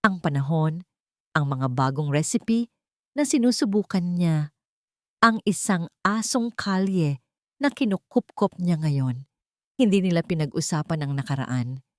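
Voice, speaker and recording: A woman storyteller with a fairly low voice, recorded dry and close. She reads a scripted romantic drama with measured, sentimental phrasing, in the manner of an audio storybook. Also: expressive, a little mellow, no room sound. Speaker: storyteller, narrator.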